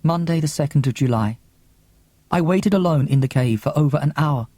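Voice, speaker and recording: A man's voice: a little high, moderate pace, darkish tone, dry recording, lightly acted. A man voicing a romantic young woman reading out as a diarist in an audiobook, breathless, tender and wide-eyed. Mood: wistful. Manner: wide-eyed, gasping, earnest storytelling.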